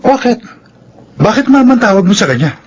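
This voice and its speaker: An actor playing a bitter, confrontational ex-convict elder brother in a Filipino radio drama. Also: male voice, high-pitched, very animated, brisk, darkish tone, dull audio. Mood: accusing.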